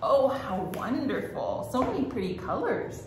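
A woman reads to young children as a storytime host, with a very animated, swooping delivery. She takes her time over phrases and speaks to the children directly with expressive exclamations. The room is strongly echoey. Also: fairly high pitch, a little slow. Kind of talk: children's read-aloud storytime. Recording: echoey room with faint noise.

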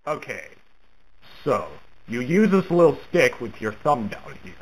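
A man playing a patient, halting fellow giving casual instructions in a horror game. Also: medium pitch, sing-song, rough recording. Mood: patient, helpful.

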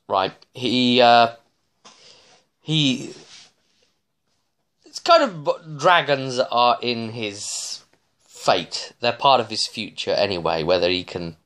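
Low-pitched man, halting and rambling. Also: a bit bright. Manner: halting, digressive, often restarting mid-sentence. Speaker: tabletop game master recapping sessions. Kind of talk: casual, rambling vlog recap. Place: small living room, indoors.

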